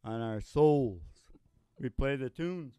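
A man's voice: mid-range voice, lively intonation, slow, mellow, clear, resonant tone, no room sound, studio quality. An older man hosting a music podcast, talking in a folksy, jokey, mock-boastful way. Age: older, 60s or above. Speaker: podcast host and singing DJ. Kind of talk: rambling comic banter between songs. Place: small curtained indoor studio stage. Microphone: stand microphone, close.

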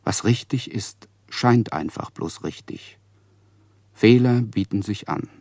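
A man's voice: fairly low pitch, slow pace, a little mellow, dry recording. An actor playing a brooding male narrator of inner anxiety in a literary radio play.

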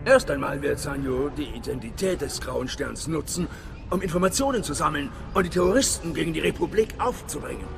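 Gravelly, effusive station chief. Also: male voice, on the high side, expressive, brisk. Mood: pleased, effusive. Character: big, talkative, self-satisfied imperial station chief. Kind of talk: dramatic sci-fi game dialogue. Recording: long reverberant hall, music behind.

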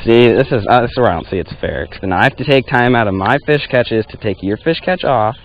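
A young man in his twenties, casually teasing and keeping score out loud while fishing from a small boat on a lake. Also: mid-range voice, animated, fairly quick, lo-fi sound. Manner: teasing, keeps score aloud. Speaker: fishing vlogger. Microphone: action camera on a chest harness.

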